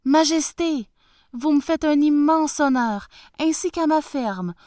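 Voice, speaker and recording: A woman's voice: sits high, takes it slowly, no echo, clean studio sound, pitched above the performer's own voice. A woman doing a flustered old peasant farmer. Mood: fawning. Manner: excitable, fawning and fretful.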